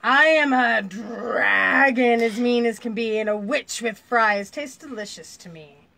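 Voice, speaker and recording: A woman's voice: fairly high pitch, unhurried, gravelly, bright, forceful tone, heavily acted. A woman voicing a mean, hungry male dragon from a storybook, menacing and gloating.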